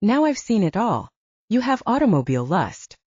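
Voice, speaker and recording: A woman's voice: mid-range voice, sing-song, normal speed, darkish tone, slightly rough, dry recording. A woman voicing a playful, teasing female character in an audiobook romance. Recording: dull lo-fi audiobook recording.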